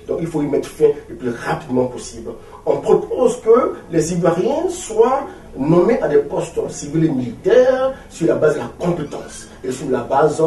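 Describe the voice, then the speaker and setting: Roomy, high-pitched Ivorian man, emphatic and rhetorical. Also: warm tone. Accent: Ivorian French. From Ivory Coast. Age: 40s. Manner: emphatic, rhetorical, repeating phrases. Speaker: opposition political party leader being interviewed. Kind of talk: political interview. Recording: roomy, distant mic with faint noise.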